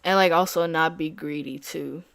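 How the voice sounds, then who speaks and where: A woman's voice: deep voice, fairly even intonation, moderate pace, creaky, a bit bright, no room sound. Casual young woman day trader. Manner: casual, filler-heavy, slangy. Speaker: day trader streaming live. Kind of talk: casual live-stream chat.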